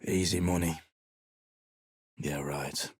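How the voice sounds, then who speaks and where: An actor playing a surly, battle-worn mercenary adventurer in a video game, speaking in a gruff, blunt, dismissive way. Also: male voice, rumbling low, steady tone, slow, no room sound, studio quality, full character voice.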